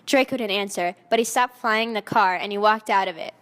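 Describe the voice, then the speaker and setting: A woman's voice: mid-range voice, fairly quick, a bit bright, smooth. A woman narrating fan fiction in a mock-dramatic style, often close to giggling at the text. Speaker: narrator and reader. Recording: long echoing reverb.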